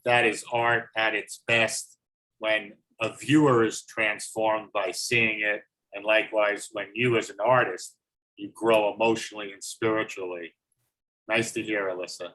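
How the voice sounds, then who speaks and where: A man hosting an online artist event on a video call, reading out chat comments in a steady, level way. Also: lowish voice, a little slow, rough recording. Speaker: host.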